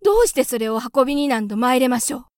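A woman's voice: sits high, animated, very fast, no echo, clean studio sound. A woman voicing an anxious, tearful mother field mouse who speaks very politely and pleads. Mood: grateful, humble.

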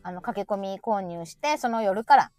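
A middle-aged woman with a Kansai accent, chatting casually and telling everyday stories in an animated, talkative way as a stream host. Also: mid-range voice, moderate pace, dry recording. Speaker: live audio stream host. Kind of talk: casual chat.

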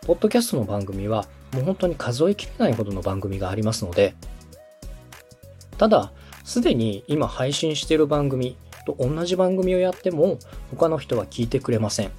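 A man's voice: medium pitch, big pitch swings, a little fast, mellow, faint music behind. A man hosting and coaching, explaining things step by step in a polite, instructive way. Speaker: podcast host and coach, a radio director. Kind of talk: structured explanatory coaching on podcast production.

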